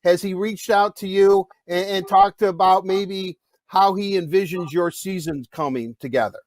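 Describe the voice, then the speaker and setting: High-pitched guy with a big loud voice, rambly podcast host questions, dry sound. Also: moderate pace, dry recording. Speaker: podcast host interviewing a player. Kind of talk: casual interview chat.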